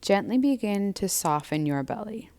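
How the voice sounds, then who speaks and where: A woman yoga instructor gently and soothingly guiding breathwork and a body scan in a measured way. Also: mid-range voice, expressive.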